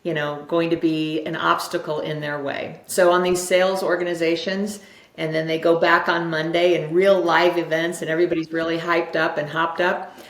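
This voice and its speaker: A woman with a slightly low voice talks like a motivational coach being interviewed. She tells stories conversationally and leans on repeated phrases to drive points home. The sound is roomy and echoing. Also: little pitch movement, normal speed. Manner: emphatic, repeats phrases for effect. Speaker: interview guest, self-development coach. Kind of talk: conversational motivational explanation with anecdotes.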